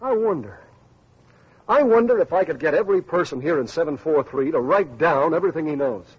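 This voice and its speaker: An actor playing an earnest, idealistic male community leader in a radio drama, speaking deliberately and anxious for the future. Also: on the high side, animated, breathy edge. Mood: worried, earnest. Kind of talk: 1950s radio drama acting. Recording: muffled old radio recording, music behind.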